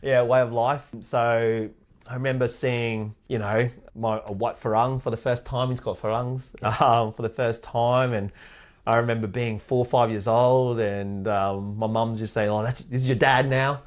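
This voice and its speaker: A man from Thailand raised in Australia, speaking with an Australian accent as an interview guest, relaxed and laughing often. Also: mid-range voice, a little slow. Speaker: guest, head of sales operations. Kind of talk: casual interview chat.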